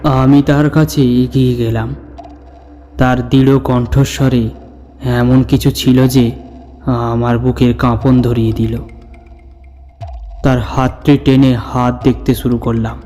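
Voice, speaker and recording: A man playing an older storyteller who recounts his own ghostly encounter in a suspenseful, measured narration. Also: medium pitch, little pitch movement, dark tone, lightly acted. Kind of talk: dramatic scripted horror story narration. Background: background music.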